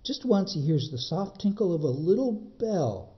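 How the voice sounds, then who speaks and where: A man reading a children's book as a librarian, in expressive narration with dramatic, measured phrasing. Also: high-pitched, lo-fi sound.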